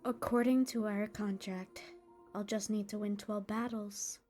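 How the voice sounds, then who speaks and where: A woman's voice: medium pitch, fairly even intonation, unhurried, mellow, natural voice. A woman playing a proud young slave warrior in a comic dub, speaking solemnly, formally and bluntly over music. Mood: resolute. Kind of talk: dramatized fan comic dub.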